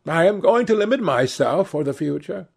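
A man's voice: a little high, animated, moderate pace, gravelly, darkish tone, husky, pitched above the performer's own voice, full character voice. A man doing a gracious society lady. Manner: gracious, murmuring.

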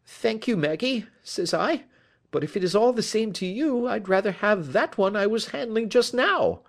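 A man's voice: high-pitched, big pitch swings, moderate pace, vocal fry, heavily acted. A man reading aloud as a frightened, desperate young male thief confessing his story in an agitated, pleading way. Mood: anxious. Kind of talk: audiobook reading.

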